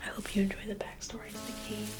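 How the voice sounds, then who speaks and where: A woman in an ASMR roleplay, playing a private museum guide in a slow, coaxing whisper that repeats phrases. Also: completely flat delivery, over music. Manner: coaxing sales-pitch tone.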